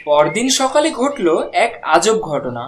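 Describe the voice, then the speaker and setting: A woman's voice: on the low side, very animated, a little slow, slight background noise. A woman giving scripted narration for a cartoon story.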